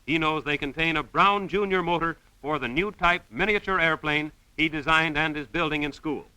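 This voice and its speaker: An American man reads 1930s film narration with earnest, declamatory delivery. His voice is fairly high, very sharp and forceful, with crisp, clean tone. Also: no room sound, poor audio. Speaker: newsreel narrator.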